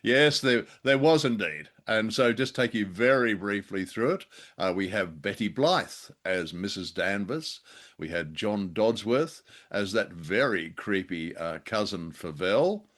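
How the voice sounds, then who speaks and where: An older man co-hosting a casual discussion of film and radio drama, with a droll, halting, courteous manner. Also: on the low side, expressive, a bit bright. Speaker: podcast co-host.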